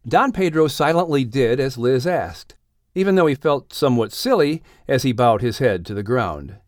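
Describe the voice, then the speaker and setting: A man announcing and narrating a children's audiobook in an animated scripted storytelling style. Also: medium pitch, normal speed, no echo, studio quality.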